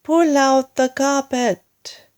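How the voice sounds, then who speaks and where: A woman voicing a bossy, excitable father monkey in a children's storybook, snappy and urgent as he gives orders. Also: high-pitched, drawn-out words, pitched above the performer's own voice. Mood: excited, commanding. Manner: shouting orders, exclamatory.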